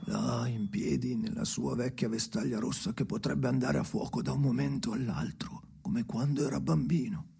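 An actor playing a brooding, haunted old storyteller in a radio play, hoarse and often near a whisper, speaking in halting, repeated fragments. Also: male voice, medium pitch, mellow, heavily acted. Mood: brooding, hushed. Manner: halting, fragmented, incantatory repetition. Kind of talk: slow dramatic radio play monologue.

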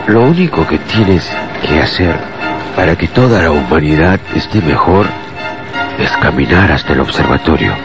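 An actor playing a manipulative, falsely fatherly man in a radio drama, coaxing and secretive as he instructs. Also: sits low. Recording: loud music bed under the voice.

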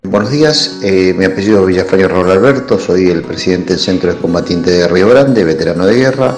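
Mature Argentine male, deep, hesitant, echo-heavy voice message with soft guitar behind. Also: fairly quick. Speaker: war veterans' association president. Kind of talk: informal recorded voice message. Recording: echoey voice message. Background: faint guitar music.

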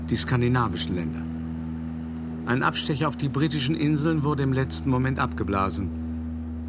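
An actor playing an urbane, self-satisfied art dealer, telling his story in a measured, articulate radio play monologue. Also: mid-range voice, very noisy, natural voice. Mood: reminiscing, wry.

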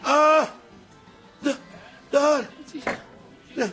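An actor playing a jovial, easily spooked old barkeeper in a Dutch radio comedy, with a folksy, excitable manner. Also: male voice, extremely high pitch, extremely slow, faint music behind, heavily acted. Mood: alarmed, puzzled. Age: older.

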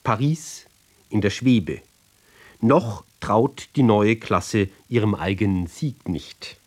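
A man giving a measured literary recitation for radio, speaking deliberately in short phrases with pauses between them. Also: fairly low pitch, darkish tone. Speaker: reader.

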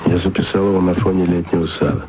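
An actor playing a lonely, sentimental radio tape editor in love, confiding in short, hushed, wistful phrases in an intimate radio monologue. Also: male voice, low voice, fairly even intonation, fast, over music, natural voice. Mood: tender, confiding.

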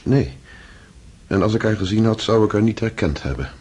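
A reflective, loving husband in a radio play. His voice is lowish and very husky, with hesitant phrasing that moves around a lot in pitch. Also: animated, moderate pace, darkish tone. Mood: gentle. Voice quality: breathy, husky. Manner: hesitant, thoughtful. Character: earnest, reflective, affectionate idealist husband. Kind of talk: intimate radio drama acting.

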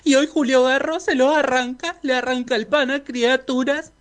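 A man's voice: very high-pitched, fairly even intonation, normal speed, a bit bright. A man with an Argentine Rioplatense accent speaking as a street vendors' spokesperson, giving an earnest activist statement in formal wording.

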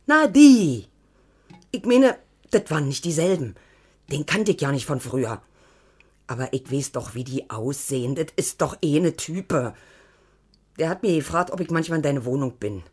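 An actress playing an anxious, devoted old woman with a husky voice and a colloquial Berlin accent in a radio drama. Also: deep voice, animated, moderate pace, long pauses, darkish tone. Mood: uneasy, confiding. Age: older. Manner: colloquial, rambling, pleading. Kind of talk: naturalistic radio drama acting.

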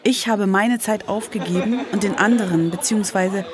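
A woman's voice: mid-range voice, moderate pace, a crowd in the background. A woman narrating a radio feature in a reflective first-person, scripted style. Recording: music playing behind the voice. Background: music.

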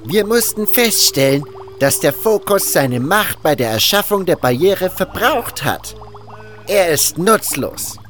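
An actor playing a haughty, fanatical cult guru and alchemist in an audio drama, hissing and drawing out words with contempt. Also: male voice, sits high, very animated, unhurried, a bit bright, faint music behind, heavily acted. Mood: curt, contemptuous.

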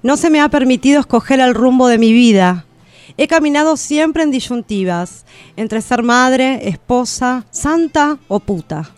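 A woman's voice: high voice, animated, measured pace, no room sound. An actress playing an aching yet unbroken, defiant everywoman, reciting in solemn and emphatic poetic radio theatre. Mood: unbroken, proud.

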